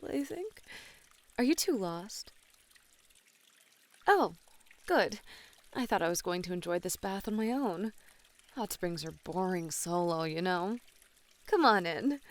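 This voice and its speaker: A woman playing a flirty, playful bunny woman in an ASMR roleplay, teasing and coy with soft sighs. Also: mid-range voice. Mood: flirty, welcoming. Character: flirty, playful bunny woman, a model relaxing in a hot spring. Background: pouring, trickling water.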